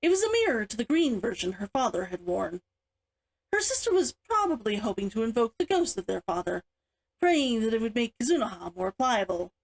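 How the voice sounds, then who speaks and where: A woman with a high voice that moves up and down in lively swings. She is expressive and chirpy, talking as the author and host of a read-along. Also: fairly quick, low-quality recording. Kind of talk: animated chat and story read-aloud.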